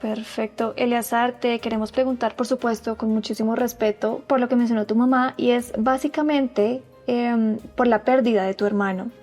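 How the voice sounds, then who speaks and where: Woman, TV host, polite, measured, higher pitch, light background music. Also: fairly even intonation. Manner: polite and measured, gently leads the guest. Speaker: TV show host. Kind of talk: formal TV interview hosting.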